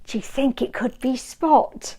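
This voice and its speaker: A woman reading a picture book aloud to small children, sing-song and inviting, drawing the listener in with questions and hushed counting. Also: high voice, unhurried, breathy edge. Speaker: storybook reader.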